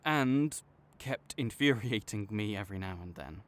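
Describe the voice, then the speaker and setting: A man playing an arrogant, teasing supervillain rival with a soft spot, speaking theatrically to the listener in an audio roleplay. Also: lowish voice, lively intonation, normal speed, close to an everyday voice. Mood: reluctantly tender. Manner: smug, teasing, theatrical with sighs. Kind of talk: dramatic roleplay monologue spoken to the listener.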